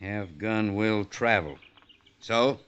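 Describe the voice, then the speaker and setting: An actor playing a hard, bitter old rancher, gruff and curt, in a 1950s radio western. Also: male voice, fairly low pitch, slow, a bit bright. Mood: gruff, suspicious. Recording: dull vintage radio recording.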